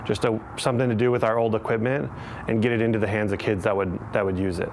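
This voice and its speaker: A man's voice: fairly low pitch, fairly even intonation, fast, darkish tone, slight background noise. A man speaking in an interview as a program leader. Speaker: after-school skateboarding program leader. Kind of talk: interview talk.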